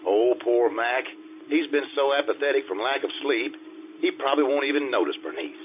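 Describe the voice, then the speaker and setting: A man's voice: medium pitch, expressive, brisk, close to an everyday voice. A man playing an earnest, naive, mild-mannered fellow in an old-time radio sitcom, with an eager, guileless delivery. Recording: tinny, noisy radio-style recording.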